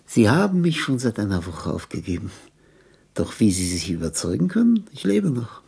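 A bitter, dying old composer in a radio play. He is gravelly and very husky, swinging wildly in pitch. He rants grandly and self-pityingly, in halting bursts that crack into cackles and whispers. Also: wildly swooping pitch, darkish tone, heavily acted. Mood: weary, suspicious. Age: older. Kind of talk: radio drama monologue.

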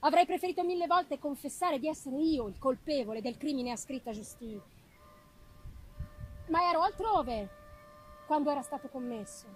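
High-pitched woman solemnly reading gothic fiction. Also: lively intonation, normal speed, long pauses, low-quality recording. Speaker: reader. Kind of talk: dramatic literary narration of gothic novel. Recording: music behind the reading. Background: live music.

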